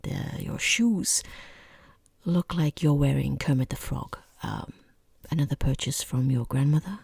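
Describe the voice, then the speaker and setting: A woman playing a rude, bored, condescending boss in a softly spoken, mostly whispered ASMR roleplay, close to the microphone, with snide, deliberate delivery. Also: a bass voice, dry recording, exaggerated voice. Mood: appalled, condescending. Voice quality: breathy, husky whisper.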